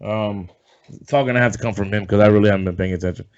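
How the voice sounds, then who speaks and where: A man hosting a casual sports podcast, rambling and loose, swearing offhand, a bit hoarse as if coming down with something. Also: on the low side, steady tone, fast, a little mellow. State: losing his voice, feeling sick. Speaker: podcast host. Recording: dull lo-fi sound.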